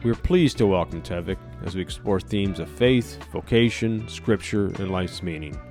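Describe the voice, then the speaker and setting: Very low voiced guy reading the show intro, soft guitar under it. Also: normal speed. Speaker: podcast intro narrator. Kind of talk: scripted introduction. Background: faint guitar music.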